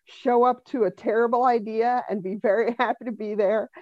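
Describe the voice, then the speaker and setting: A woman's voice: fairly high pitch, fairly even intonation, moderate pace, no room sound, highs cut off. A woman in her fifties from Denver, a comedian and musician guest chatting dryly on a podcast and laughing often. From Denver, USA. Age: 50s. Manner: dry, wry, laughs often. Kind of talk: casual podcast interview.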